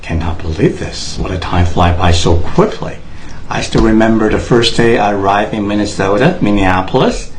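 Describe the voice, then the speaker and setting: Earnest Taiwanese man, halting speech. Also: on the low side, a little hiss or hum. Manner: earnest, halting, many fillers and restarts. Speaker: speaker addressing Americans. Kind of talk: unscripted personal address to camera.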